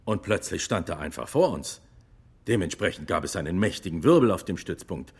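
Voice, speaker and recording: An actor playing a retired army lieutenant testifying in a radio drama courtroom, husky and matter-of-fact. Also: male voice, deep voice, animated, brisk, heavily acted. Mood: matter-of-fact, earnest.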